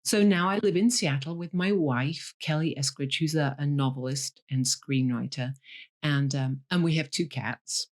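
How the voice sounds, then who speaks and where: A woman's voice: deep voice, normal speed. A woman from Leeds, a novelist answering interview questions candidly, with dry humour and frequent ums. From Leeds, Yorkshire, England. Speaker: guest, novelist. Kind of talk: interview over a call.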